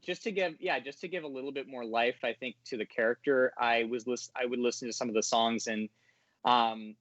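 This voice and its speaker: A man of average pitch talks casually as an interview guest. He feels his way through sentences, pausing to find words. The sound is dry and slightly muffled. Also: a bit bright, dry recording. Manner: hesitant, searching for words. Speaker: audio drama voice actor, podcast guest.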